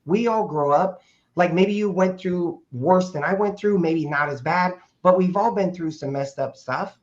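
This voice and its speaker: Guest, male, 50s, raspy and a bit hoarse, rambling and casual, dull lo-fi sound. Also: high-pitched, unhurried. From Portland, Oregon, USA. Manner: rambling with frequent fillers and restarts. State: hoarse from speaking over the weekend. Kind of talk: casual conversational storytelling. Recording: dull lo-fi audio.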